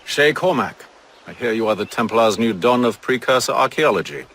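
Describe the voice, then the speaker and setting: A man playing a stern, authoritative leader of a secret order in a game cutscene, formal and measured. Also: medium pitch, lively intonation, a bit bright, a little hiss or hum, lightly acted. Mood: probing. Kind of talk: video game cutscene acting.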